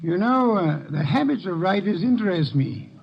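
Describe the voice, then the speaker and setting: Pompous, higher-voiced detective genius. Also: male voice, animated, normal speed, gravelly, slightly rough, full character voice. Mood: haughty. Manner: pompous, precise, formal. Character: pompous, shrewd, immovable male detective genius. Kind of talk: 1950s radio detective drama acting. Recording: dull vintage radio recording.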